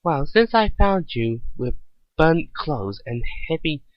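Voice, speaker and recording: A man voicing a curious, analytical female mechanic in a story reading, probing and deliberate. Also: on the high side, very animated, dry recording, muffled recording, pitched above the performer's own voice. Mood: reasoning.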